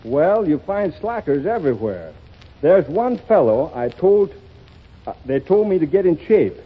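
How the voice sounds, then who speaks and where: An actor playing a stern, bossy gym instructor in a 1930s radio comedy sketch, brisk and scolding. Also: male voice, a little high, big pitch swings, husky, full character voice. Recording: tinny reverberant old radio broadcast.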